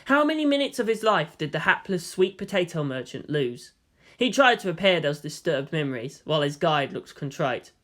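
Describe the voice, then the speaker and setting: Narrator: man with a lightly high voice, delivering prose fiction as a scripted read. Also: sing-song, normal speed, a bit bright.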